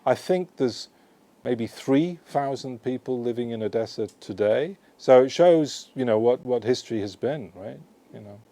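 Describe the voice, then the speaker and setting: A man's voice: mid-range voice, lively intonation, moderate pace, mellow, no room sound. A man, an author, answering audience questions at a book launch in a shop, talking in a rambling, conversational way full of "you know" and "right?". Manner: digressive, self-correcting. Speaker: author presenting his book.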